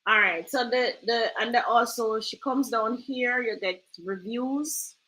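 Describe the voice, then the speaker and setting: Woman, Jamaican accent, host, casual and talkative, bright tone. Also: on the high side, a little slow, low-quality recording. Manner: chatty, loose, keeps asking "right?". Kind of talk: casual screen-share tutorial chat.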